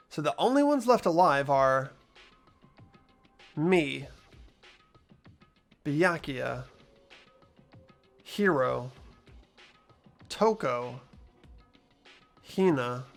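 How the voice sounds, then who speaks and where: Animated dramatic reader voicing an anxious student. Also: mid-range voice. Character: earnest, anxious high school student investigating a killing game. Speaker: player reading a video game's lead role aloud. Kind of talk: dramatic reading of visual novel narration and dialogue.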